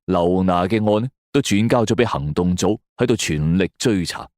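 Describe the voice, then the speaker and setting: A man's voice: sits low, lively intonation, normal speed, a little mellow, no room sound, studio quality. A man narrates a suspense story in the first person, dramatically.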